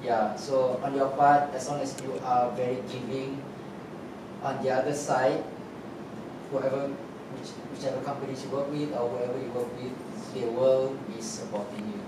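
A man on a theatre panel, reassuring and measured in his advice. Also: mid-range voice, little pitch movement. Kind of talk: post-show panel talk. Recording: echoey room, distant mic, noisy.

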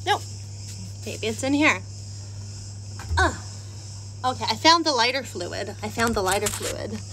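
Slow-talking woman streaming while she cooks. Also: a little high, animated, bright, forceful tone, slight background noise, rough recording. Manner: chatty, self-deprecating, asks viewers questions. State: panting from heat and stairs. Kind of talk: casual live-stream chat while cooking. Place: house and outdoor stairs and terrace.

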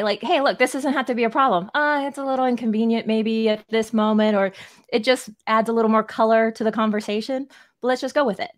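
Young woman guest co-host, mid-pitched, rambling chatty delivery on a desk mic. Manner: rambling, frequent "like" and "you know". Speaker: co-host, podcaster. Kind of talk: casual interview chat. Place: home room. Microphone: desk mic on a video call.

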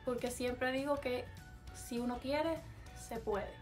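A young woman speaks in a chatty Cuban Spanish accent and sits slightly high in her range. She talks casually to a camera at desk distance in a small bedroom and drops English words in here and there. Soft music plays faintly underneath. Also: takes it slowly. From Cuba. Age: young adult. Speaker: vlogger. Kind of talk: casual vlog, taste test.

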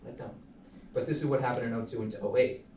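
A man's voice: mid-range voice, steady tone, fast talker, darkish tone, lightly acted. A young man reading the part of a brash startup founder at a film table read, insistent and persuasive like a salesman. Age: young adult. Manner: insistent, preachy salesman delivery. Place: small meeting room, indoors. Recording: roomy, distant mic, faint noise.

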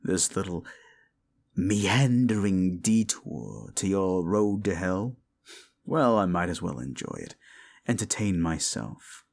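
A man's voice: sits low, big pitch swings, gravelly, a little mellow, full character voice. A man voicing a condescending, seductive demon deal-maker in an audio roleplay, speaking in a theatrical, mocking drawl. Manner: slow, condescending, theatrical drawl with amused chuckles. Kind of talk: scripted audio roleplay addressed to the listener.

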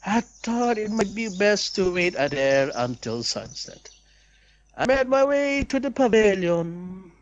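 A young man reads visual novel narration aloud as a let's player, deliberate and storybook-like, with casual asides. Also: high voice, big pitch swings, muffled recording, slight background noise.

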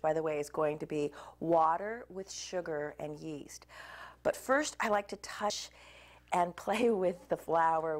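A woman with a fairly low voice talks relaxed and chatty while she cooks, explaining each step. She speaks to the camera in a kitchen studio. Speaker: cooking show host. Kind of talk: demonstrating a recipe to camera. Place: home kitchen set, indoors.

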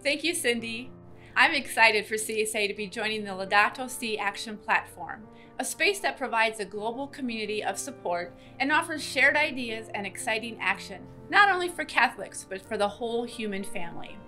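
A woman's voice: a little high, expressive, normal speed, bright, forceful tone. A middle-aged American woman delivering a scripted announcement to camera in an indoor room. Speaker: congregation social justice coordinator. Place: bright indoor room with large windows. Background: background music.

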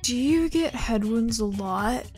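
Woman playing a dry skeptical girl, slow delivery. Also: a little high, lively intonation, darkish tone, faint music behind. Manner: dry, questioning. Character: skeptical, blunt young girl.

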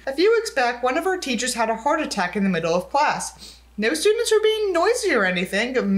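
A woman's voice: sits high, fairly bright tone. A young adult woman reading a book aloud dramatically and breaking in with sarcastic, exasperated asides. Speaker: video creator reading a book aloud with commentary.

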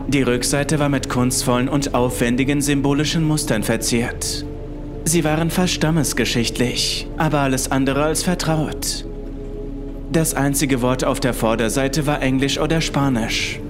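A man's voice: medium pitch, normal speed. A man reading a scripted first-person horror story as its narrator. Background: music.

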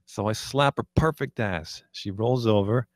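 A man's voice: fairly low pitch, measured pace, dry recording, studio quality, close to an everyday voice. Crude, cocky poker boss played by a man. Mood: smug, crude. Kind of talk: script table read acting.

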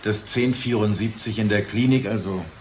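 An actor playing a smooth-talking, needling company boss in audio drama dialogue. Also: male voice, on the low side, moderate pace, background noise, close to an everyday voice. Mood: needling, conciliatory. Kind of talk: dialogue radio-play acting.

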